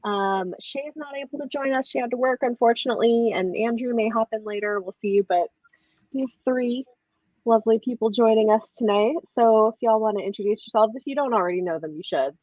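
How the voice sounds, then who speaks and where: A woman's voice: fairly high pitch, brisk, no echo. Enthusiastic woman host, dry muffled sound. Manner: enthusiastic, effusive. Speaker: host of a book readalong live show. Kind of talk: casual chat. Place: indoors, online group live stream.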